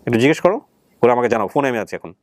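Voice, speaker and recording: Fast-talking Bangladeshi male show host. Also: medium pitch, big pitch swings. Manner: explanatory, probing with repeated rephrased questions. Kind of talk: conversational interviewing on a family reunion show.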